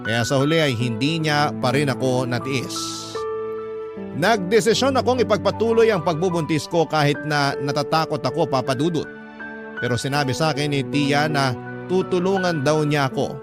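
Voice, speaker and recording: A man's voice: fairly high pitch, animated, normal speed. A man narrating a scripted first-person life story for a radio drama. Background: music.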